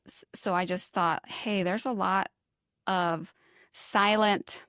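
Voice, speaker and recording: A woman's voice: mid-range voice, steady tone, slow, fairly bright tone, clean tone, no room sound, studio quality. A middle-aged woman from New York, not a native English speaker, telling personal stories in a casual, candid way as an interview guest. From New York, United States. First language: a language other than English. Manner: candid, informal, self-deprecating. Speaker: interview guest, lactation consultant. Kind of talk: casual conversational storytelling.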